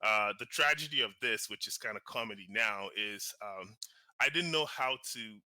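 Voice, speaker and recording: A man from Nigeria tells his life story as an interview guest, with a lower voice and a strikingly bright, forceful tone. He talks casually and animatedly, full of fillers and restarts. The sound is dry and close. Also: moderate pace, no echo. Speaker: guest, real estate investor and author.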